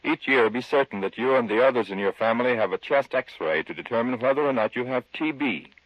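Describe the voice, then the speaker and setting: A man announcing in a formal, measured old-time radio style. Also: mid-range voice, no room sound. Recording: dull, dry old radio recording.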